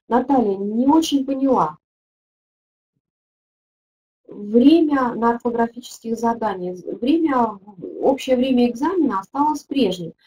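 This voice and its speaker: A middle-aged woman, fairly high-voiced, speaks into a laptop or webcam mic from an indoor room. She runs an online teaching webinar, reading out questions and thinking aloud with plenty of small hesitations and "so"s. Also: mellow, low-quality recording. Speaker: teaching methodologist leading a webinar.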